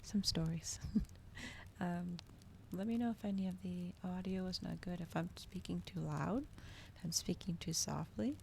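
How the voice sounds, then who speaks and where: A woman with a soft, gentle voice that often slips toward a whisper, chatting casually and a little hesitantly to viewers as an ASMR livestream host. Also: lowish voice, moderate pace, vocal fry. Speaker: streamer hosting a live reading. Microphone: regular microphone, not ASMR-specific. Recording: audible background noise, fireplace ambience. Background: crackling fireplace ambience.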